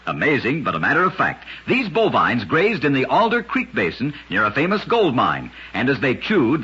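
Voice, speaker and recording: A man narrating short scripted stories in an old-time radio style, with an emphatic showman delivery and a husky voice. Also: on the high side, bright, forceful tone. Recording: dull, lo-fi old radio recording.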